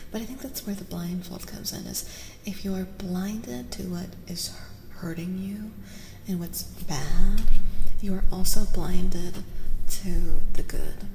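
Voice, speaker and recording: Female ASMR streamer, gentle and breathy with whispering and vocal fry; reverberant sound, clear background noise. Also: lowish voice. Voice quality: soft, breathy, often dropping to a whisper. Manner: gentle, slow, soothing. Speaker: streamer hosting ASMR tarot readings. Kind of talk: soft-spoken ASMR live stream chat.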